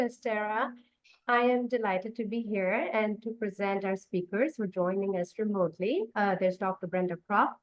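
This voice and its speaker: A woman's voice: mid-range voice, dry recording, lo-fi sound. A woman co-moderator politely introducing remote speakers.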